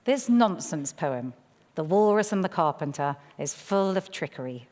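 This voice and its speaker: A woman's voice: on the low side, lively intonation, moderate pace, a little mellow. A woman presenter giving a scripted introduction to a reading on a theatre stage. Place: theatre stage before an audience. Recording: reverberant theatre hall.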